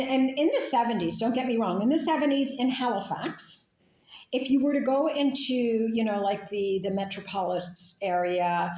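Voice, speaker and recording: A woman's voice: mid-range voice, darkish tone, echoey room, low-quality recording. A woman from Canada talking in a casual, rambling monologue, full of fillers and restarts. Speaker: speaker.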